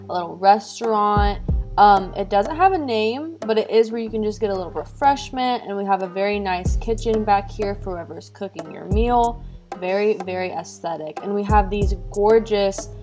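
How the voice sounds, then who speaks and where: A woman at a middle pitch chats through a game tour and reacts eagerly to what she sees. She gushes over each new spot in a light, casual way. Music plays clearly behind her. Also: normal speed. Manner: enthusiastic, gushing reactions. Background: background music.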